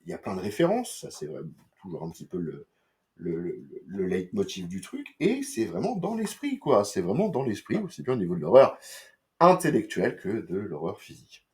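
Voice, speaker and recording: A man from France talks through comics in a loose, rambling way, tossing in slang and swear words between fillers. His voice sits on the low side. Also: wildly swooping pitch, moderate pace, darkish tone. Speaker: comics reviewer. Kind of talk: casual crude-talking comics review.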